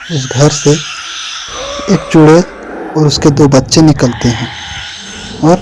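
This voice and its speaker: A man's voice: fairly high pitch, darkish tone, rough recording. A man giving a scripted narration of a horror story.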